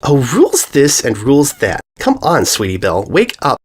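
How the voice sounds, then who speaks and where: A bossy little filly from a cartoon-pony storybook, acted out by a woman. The voice is pitched high and swings widely, with a snappish, impatient, defiant edge. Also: huge pitch swings, moderate pace, a little hiss or hum, heavily acted.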